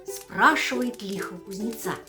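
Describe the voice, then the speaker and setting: A woman actress reading a bedtime fairy tale in an expressive storyteller's cadence. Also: mid-range voice, measured pace, soft background music.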